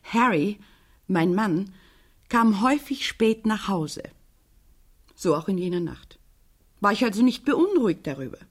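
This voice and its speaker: A woman playing a sad, guarded widow in a German radio crime drama, speaking gently in a hushed, hesitant, measured way. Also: mid-range voice, expressive. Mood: haunted recollection.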